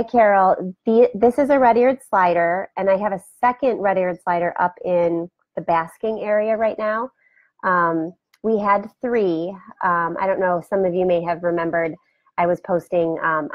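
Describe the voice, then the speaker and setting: A woman hosting a casual live video chat from her home, rambling warmly and greeting viewers by name, her voice scratchy today. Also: fairly low pitch, no room sound, lo-fi sound. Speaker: host. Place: home room beside a turtle aquarium. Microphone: webcam for a live video.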